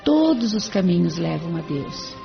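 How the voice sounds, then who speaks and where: A woman's voice: fairly low pitch, big pitch swings, a little slow, gravelly, faint music behind, exaggerated voice. An actress with a Brazilian accent voicing a serene, devout female spirit helper who explains things patiently in an audio drama. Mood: serene, instructive. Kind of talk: audio drama acting in Portuguese. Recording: dull lo-fi audio.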